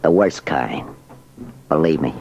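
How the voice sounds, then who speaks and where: An actor playing a gruff, impatient gangster on the run in a 1940s-style radio crime drama, curt and pushy with slangy talk. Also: male voice, a bass voice, a little slow, darkish tone, lo-fi sound, a little hiss or hum. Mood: urgent. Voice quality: gravelly.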